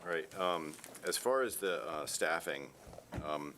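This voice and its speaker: A man, a board member, asking questions at a public meeting in a halting way with many pauses and fillers. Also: low-pitched, expressive, sharp tone, slight background noise. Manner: halting, lots of ums.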